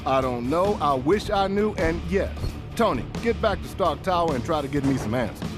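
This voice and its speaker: A commanding American spy agency director, acted by a man from a video game cutscene. He is terse and businesslike, in a slightly high voice, with clear music behind him. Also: animated, moderate pace, close to an everyday voice. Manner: authoritative, terse. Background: cinematic game music.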